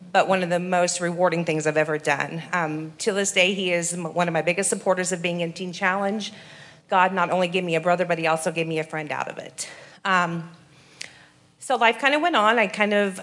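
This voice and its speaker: A woman in her forties from Iowa, giving an earnest church testimony with frequent pauses and ums. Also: lowish voice, a bit bright. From Iowa, USA. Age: 40s. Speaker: rehab program resident giving testimony.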